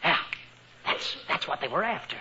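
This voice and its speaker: An old, dull and noisy radio comedy recording from the 1940s. an eager American teenage son with a high voice, puffed up as a private eye and given to earnest melodrama. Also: male voice, sing-song, normal speed. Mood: excited, earnest. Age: young adult.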